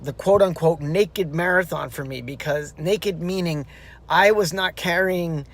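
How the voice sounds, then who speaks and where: A man talking straight to his handheld camera, recapping a race in a loose, rambling way. His voice sits a little high, and he keeps restarting sentences and tossing in fillers as he goes. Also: a little slow, fairly bright tone. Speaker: runner vlogging a marathon. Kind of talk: casual vlog recap. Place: outdoors and in a car.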